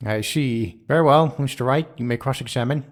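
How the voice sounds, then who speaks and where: An actor playing a solemn elderly courtroom judge in a video game drama, formal and deliberate. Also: male voice, medium pitch, lightly acted. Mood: grave.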